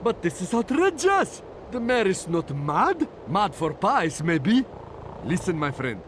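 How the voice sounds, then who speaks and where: Casting: wry streetwise schemer, very animated high voice, video game, noisy background. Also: male voice, measured pace, exaggerated voice. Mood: urgent, wry. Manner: wry, conspiratorial. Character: scheming, streetwise sidekick, cheeky and urgent. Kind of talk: video game cutscene acting.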